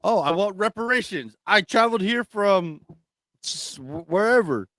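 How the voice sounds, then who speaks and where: A man's voice: sits high, animated, normal speed, a bit bright, no echo. A man co-hosting a talk show, chatting casually and giving opinions in a blunt, profane way. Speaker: talk radio co-host.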